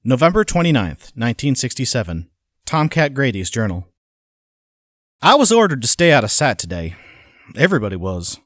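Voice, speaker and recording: A man's voice: mid-range voice, sing-song, moderate pace, pauses often, fairly bright tone, no room sound, clean studio sound. An actor playing a simple, obedient hired hand who reads his journal in a plain-spoken, hesitant way for an audio drama. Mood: uneasy, puzzled.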